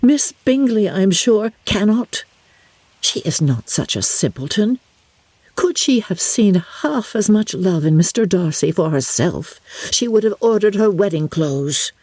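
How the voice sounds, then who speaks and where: Lady doing a witty confident young gentlewoman, audiobook style, husky, big swoops in pitch. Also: lively intonation, measured pace, dry recording. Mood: insistent, wry. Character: spirited, sharp-witted young gentlewoman, confident and frank. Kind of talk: dramatic audiobook character reading.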